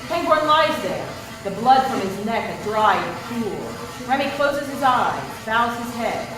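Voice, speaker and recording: A woman's voice: on the high side, expressive, takes it slowly. A woman reading stage directions as narrator at a live screenplay reading. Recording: very echoey, distant, noisy live room.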